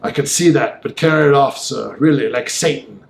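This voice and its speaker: A man reading as a gentleman who recounts a disturbing tale in vivid, old-fashioned, emphatic speech. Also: medium pitch, moderate pace, creaky, roomy sound, a little hiss or hum, lightly acted. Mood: indignant. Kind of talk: audiobook dialogue monologue.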